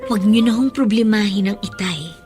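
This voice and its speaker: A woman's voice: mid-range voice, brisk, warm tone, close to an everyday voice. A woman playing a caring, protective schoolgirl daughter in Filipino radio drama, earnest and respectful. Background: music under the voice.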